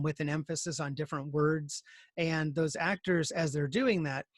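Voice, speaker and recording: Higher-pitched man teaching casually, dry sound. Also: fairly bright tone, dry recording. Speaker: teacher leading a screenwriting class. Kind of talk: casual explanatory lesson. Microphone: video call.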